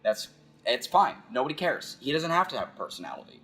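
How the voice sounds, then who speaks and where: A man giving casual, rambling philosophical commentary, halting and repeating phrases as he thinks aloud. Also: mid-range voice, very animated, a bit bright, low-quality recording. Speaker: video essayist.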